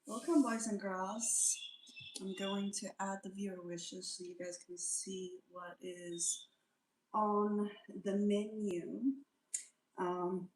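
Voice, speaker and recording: A woman's voice: medium pitch, fairly even intonation, slow pace, echoey room, low-quality recording. A woman hosting a casual livestream, greeting viewers by name and humming little sing-song tunes between remarks. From South Africa. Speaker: livestream host.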